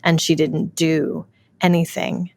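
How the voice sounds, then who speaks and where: A woman's voice: low-pitched, flat delivery, slow pace, vocal fry, a little mellow. A woman theatre artist recounting an experience reflectively, with emphatic repeats and self-corrections. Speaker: theatre artist discussing a production. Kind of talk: reflective spoken anecdote.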